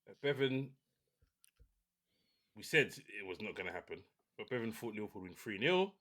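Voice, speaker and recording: A man hosting a casual football podcast, talking in a relaxed, opinionated way with many restarts. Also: fairly low pitch, moderate pace. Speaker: podcast host.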